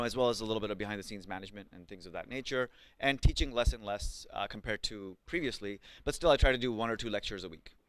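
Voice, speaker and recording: A man's voice: on the low side, a bit bright, smooth, no echo. A man from Pakistan in his thirties teaching a live coding class, chatty, with lots of ums and questions to the students. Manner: conversational, many ums and likes, asks the class questions. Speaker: coding bootcamp instructor.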